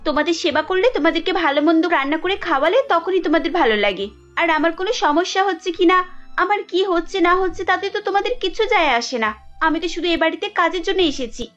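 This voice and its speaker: A woman's voice: extremely high pitch, animated, brisk, a bit bright, lo-fi sound, soft background music. A woman voicing a young Bengali housewife in a family cartoon, with an emphatic, reproachful way of talking. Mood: angry, sarcastic. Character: young Bengali housewife Kajal, dutiful but quick to sulk and scold.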